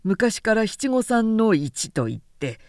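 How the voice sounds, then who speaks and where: A woman's voice: on the high side, big pitch swings, slow pace, a little mellow, no echo, clean studio sound, full character voice. A woman voicing a garrulous, self-important middle-aged samurai man who lectures at length in a storybook reading. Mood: chatty, self-satisfied. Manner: long-winded, pompous, lecturing.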